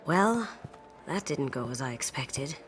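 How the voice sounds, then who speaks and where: An actress playing a sly, self-assured master thief in a video game, breezy and wry. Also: female voice, a bass voice, lively intonation, unhurried. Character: sly, confident master thief woman, playful and cool. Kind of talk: video game character acting. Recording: music behind the dialogue. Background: game soundtrack music.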